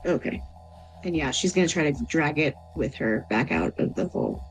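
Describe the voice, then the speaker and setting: A woman's voice: deep voice, very animated, moderate pace, soft background music. A woman playing a tabletop role-playing game, talking casually in short reactions and brief descriptions of her character.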